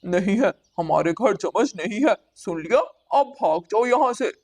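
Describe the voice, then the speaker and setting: A woman's voice: mid-range voice, lively intonation, normal speed, a little mellow. Woman voicing an anxious, scolding male crow. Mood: suspicious, scolding. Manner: urgent, pleading, scolding. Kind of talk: children's animated story dialogue.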